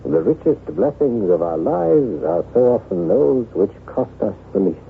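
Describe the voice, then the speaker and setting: An actor playing a lonely, self-loathing aristocratic former soldier in theatrical, formal, halting radio drama style. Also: male voice, medium pitch, big pitch swings, creaky. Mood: warm, animated. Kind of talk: old-time radio drama acting. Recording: tinny band-limited vintage radio, hissy.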